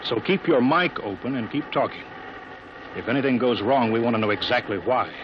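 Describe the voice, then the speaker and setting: An actor playing a serious, cautious American rocket project chief in a 1950s radio drama. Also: male voice, mid-range voice, brisk, lightly acted. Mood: firm, insistent. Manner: serious, insistent, businesslike. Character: earnest, worried male rocket project chief, firm and cautious. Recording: muffled, noisy vintage radio recording.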